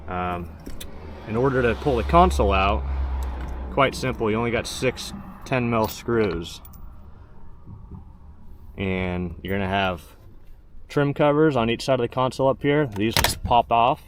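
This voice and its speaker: A man's voice: medium pitch, unhurried, pauses often. A man giving a casual, hands-on how-to, plain-spoken and matter-of-fact as he goes step by step. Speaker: host of a truck DIY install video. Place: in and around a pickup truck. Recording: steady background noise.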